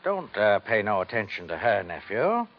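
An actor playing a folksy, sly, indulgent old uncle in a 1950s radio drama. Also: male voice, fairly low pitch, big pitch swings, moderate pace. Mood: placating, sly. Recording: muffled 1950s radio recording.

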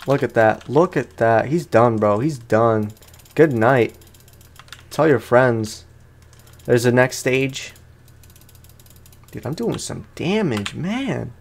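Casual, slangy gamer guy, medium pitch. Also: lively intonation, slow pace, vocal fry, long pauses, slight background noise. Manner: slangy, chatty, talks to viewers. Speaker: gaming YouTuber. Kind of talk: casual let's play commentary.

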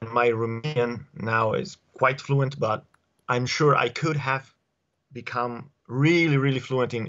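A man in his forties with an Italian accent, answering interview questions at home in a halting way with many fillers and restarts, speaking on a video call through an earphone mic. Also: mid-range voice, a bit bright. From Italy. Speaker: guest. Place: home study with bookshelves. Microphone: earphone headset mic on video call. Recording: dull lo-fi video call audio.

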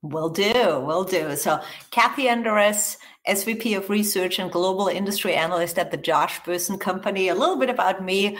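A woman's voice: medium pitch, normal speed, hardly any pauses. A middle-aged woman from Austria, with an accent mixing American and Austrian, speaking as a guest in a podcast interview, upbeat and full of fillers and restarts. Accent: mix of American and Austrian. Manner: enthusiastic, with frequent fillers and restarts. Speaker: guest, HR research executive.

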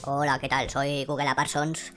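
A man voicing a hoarse, flustered female assistant, who rambles and then reads out rankings. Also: mid-range voice, completely flat delivery, a little slow, sharp tone, faint music behind, voice pushed up. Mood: apologetic. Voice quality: hoarse, croaky.

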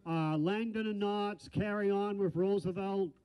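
An older man calling bids as an auctioneer in a large indoor hall, rattling off numbers in a fast chant with folksy asides, speaking into a handheld mic. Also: sits high, fairly even intonation, clean tone. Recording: dull lo-fi sound.

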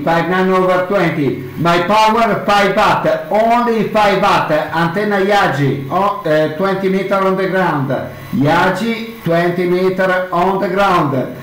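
A man's voice: sits high, a bit bright, reverberant, low-quality recording. Italian-accented man spelling call signs. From Italy. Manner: spells call signs slowly in phonetic alphabet. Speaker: ham radio operator. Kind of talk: radio contact calls. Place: small room at home, indoors. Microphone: handheld radio mic, headphones on.

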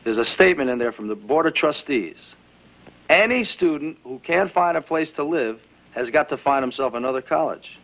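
A man's voice: fairly high pitch, animated, normal speed, creaky. An actor playing a cocky young male college student who teases in a glib, smug way. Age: young adult. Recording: dull 1960s film soundtrack.

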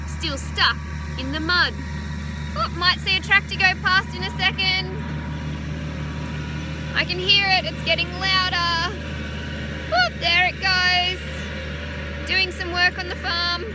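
An Australian woman in her thirties, a teacher gently reading a picture book aloud to children outdoors on a farm, adding chatty asides. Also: very high-pitched, background noise. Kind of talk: children's story time read-aloud. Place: outdoors on a farm beside a utility vehicle. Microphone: phone camera held close.

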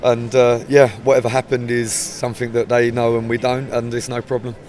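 A man in his forties, a football manager with an English accent, giving a measured, hesitant post-match interview outdoors by the pitch. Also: completely flat delivery, a little mellow, a little hiss or hum. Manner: measured, hesitant, many restarts. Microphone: handheld mic held up close.

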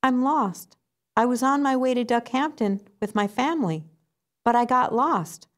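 A woman's voice: fairly high pitch, measured pace, pitched above the performer's own voice, close to an everyday voice. A woman voicing a small, frightened lost duckling boy who pleads timidly. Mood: pleading.

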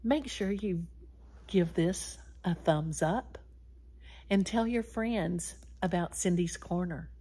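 Slow, animated older woman reading to children. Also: medium pitch. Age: 60s. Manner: animated, addressing children directly, drawn-out words and animal sounds. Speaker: children's story time host. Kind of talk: read-aloud for young children. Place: small wood-paneled room indoors.

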